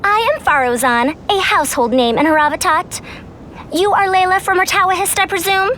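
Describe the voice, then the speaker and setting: A woman's voice: very high voice, a little fast, fairly bright tone, slight background noise. A woman voicing a proud senior scholar in a video game, with a youthful voice and formal, old-fashioned speech. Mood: haughty. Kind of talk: scripted video game character acting.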